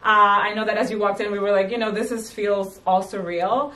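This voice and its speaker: A woman hosting an interview, speaking in a halting way with many fillers and restarts. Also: medium pitch, fairly even intonation, pushed and bright, roomy sound, recorded from a distance. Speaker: host and magazine editor. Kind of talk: conversational interview.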